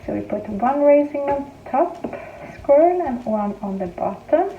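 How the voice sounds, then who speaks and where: A woman's voice: sits high, animated, unhurried, phone-quality sound, a little hiss or hum. A woman from Greece who grew up in Sweden, chatting casually as she explains each step of her baking at home in her kitchen. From Greece, raised in Sweden. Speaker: home cooking vlogger. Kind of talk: casual cooking demonstration. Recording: echoey room with faint music.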